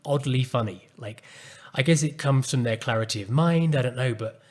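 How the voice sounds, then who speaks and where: A man hosting a solo podcast, speaking calmly and earnestly as he reflects on a personal story. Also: mid-range voice, normal speed. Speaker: podcast host and coach.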